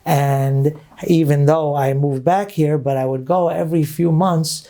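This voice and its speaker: A middle-aged man from Brooklyn, speaking as a guest rabbi. His voice sits a little high yet sounds dark and gravelly. He recounts memories earnestly and drops in Hebrew phrases. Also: steady tone, unhurried. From Brooklyn, New York. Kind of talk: storytelling interview talk.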